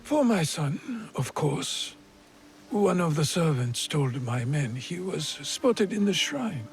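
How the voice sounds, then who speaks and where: An actor playing a stern, guarded feudal lord and father in a game cutscene, speaking curtly and with measured gravity. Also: fairly high pitch, sing-song, slight background noise, heavily acted. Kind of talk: video game cutscene acting.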